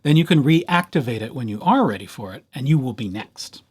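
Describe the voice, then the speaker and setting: A man's voice: mid-range voice, expressive, fairly quick, darkish tone, breathy edge. A man presenting an informal screencast tutorial for library users, talking through each step in a playful, conversational way with little asides.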